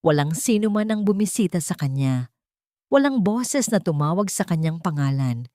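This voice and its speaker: Female audiobook narrator, lower register, solemn and measured, very dry studio sound. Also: very animated, dark tone, no echo. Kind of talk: dramatic scripted story narration.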